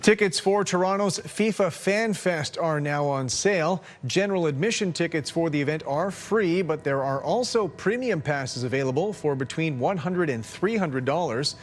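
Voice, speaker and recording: A man with a Canadian accent reading the evening news as anchor in a crisp, measured newscaster delivery. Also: fairly high pitch. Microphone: studio mic.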